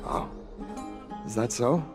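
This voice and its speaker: A man's voice: medium pitch, slow pace, over music, close to an everyday voice. An actor playing a curious, slightly hesitant city man in a video game, eager to believe in other worlds. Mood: curious, wistful.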